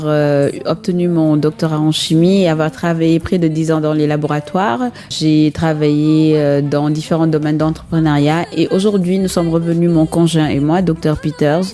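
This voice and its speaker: Radio guest: a woman in her thirties, mellow and measured, pausing often as she thinks. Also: sits low, fairly even intonation, faint music behind. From Senegal. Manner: earnest, measured, frequent hesitations. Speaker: guest, chemist and entrepreneur. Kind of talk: radio interview answers.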